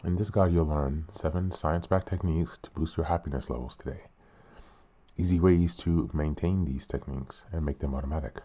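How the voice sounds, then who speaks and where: Very deep-voiced, slightly hoarse man. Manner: calm, earnest, deliberate. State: hoarse, a bit sick. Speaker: podcast host. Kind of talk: reflective podcast reading.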